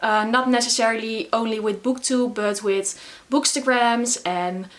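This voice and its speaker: A young Dutch woman with a Dutch accent, talking casually to camera in English, often pausing with fillers. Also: fairly high pitch, steady tone, a bit bright. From the Netherlands. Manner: chatty, frequent filler words and restarts. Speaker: booktuber. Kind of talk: casual vlog talk to camera.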